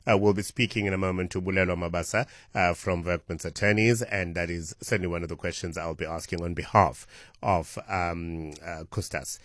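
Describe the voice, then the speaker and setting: A man hosting a call-in radio show, explaining points in a measured, hesitant way with frequent fillers. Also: sits low, steady tone, a bit bright, no echo, studio quality. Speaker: radio talk show host. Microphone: studio microphone.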